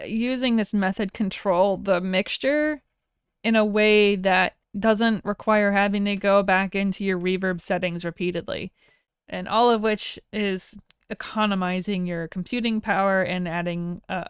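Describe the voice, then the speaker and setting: Female tutorial instructor, informal and wry, crisp resonant tone, dry close recording. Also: medium pitch, steady tone, normal speed, dry recording. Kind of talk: casual software tutorial narration.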